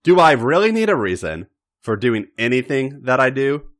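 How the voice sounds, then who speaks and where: Man playing smug, cocky wrestler, very animated. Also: medium pitch, normal speed, pitched above the performer's own voice. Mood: amused. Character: cocky, teasing, self-assured older male wrestler. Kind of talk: dramatic audio fan fiction reading.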